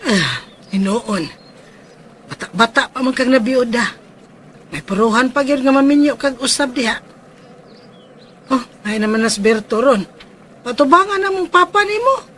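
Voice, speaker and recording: Bright, sharp older mother. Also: high-pitched, lively intonation, moderate pace, pauses often, slight background noise. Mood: curious. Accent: Cebuano Filipino. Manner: probing, advising. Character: older mother of a widow, inquisitive and cautioning. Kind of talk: radio drama acting.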